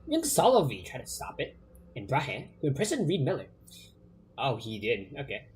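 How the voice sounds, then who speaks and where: A man's voice: mid-range voice, huge pitch swings, moderate pace, slight background noise. A man streaming a game, chatty and self-mocking, often trailing off and repeating words as he reacts. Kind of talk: casual game commentary.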